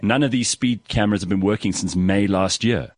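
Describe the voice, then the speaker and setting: A man's voice: on the low side, a little fast, no room sound, clean studio sound. A man hosting a casual radio chat, enthusiastic and chatty with his guests. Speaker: radio host.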